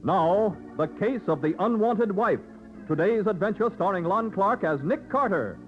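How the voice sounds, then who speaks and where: A man's voice: high-pitched, lively intonation, unhurried. A man announcing a 1940s radio show from a script, with an emphatic, polished delivery. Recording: dull vintage radio recording. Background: music.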